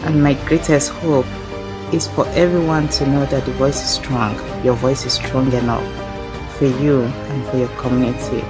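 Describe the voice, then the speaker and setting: A woman's voice: rumbling low, steady tone, normal speed. A young woman from Nigeria gives an earnest personal statement. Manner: earnest recitation. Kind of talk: short recorded personal statement. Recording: voice over loud background music.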